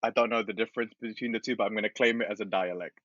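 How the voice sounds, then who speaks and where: A man's voice: lowish voice, fairly even intonation, rapid-fire, fairly bright tone, no echo. Podcast host, male, giggly storyteller, muffled dry mic. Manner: laughs often while telling stories. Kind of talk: casual chat. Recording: dull, dry recording.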